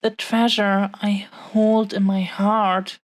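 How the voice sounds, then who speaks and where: A woman playing a tender, possessive dragon-blooded fiancée in an intimate romantic audio roleplay, speaking haltingly with lingering, breathy pauses. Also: mid-range voice, little pitch movement, dry recording, close to an everyday voice. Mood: earnest, devoted.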